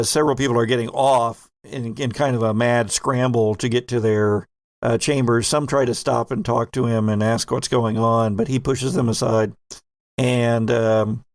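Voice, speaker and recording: A man with a gravelly, creaky voice works as a tabletop game master, recorded close and very dry. He improvises the story conversationally, speaking straight to his players. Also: medium pitch, no echo. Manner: conversational narration, addresses players directly.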